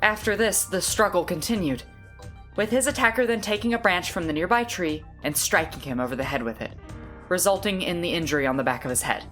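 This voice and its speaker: A woman playing a composed, logical young investigator in an audio drama, explaining in a measured, methodical way. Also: lowish voice, fairly bright tone, soft background music, close to an everyday voice.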